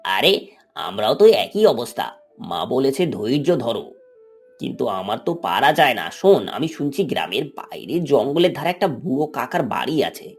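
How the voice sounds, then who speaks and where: An eager, scheming village boy of ten from a cartoon fairy tale, played by a man. The voice is husky and swings widely in pitch, over faint music. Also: sing-song, normal speed. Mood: conspiratorial. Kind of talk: animated moral story dialogue.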